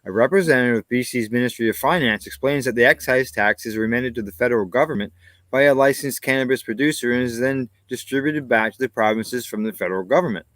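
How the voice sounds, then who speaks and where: Canadian guy co-host reading news steady, dry close sound. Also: medium pitch, fast talker, dry recording. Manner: plain, steady reading with short agreeing asides. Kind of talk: casual news reading and chat.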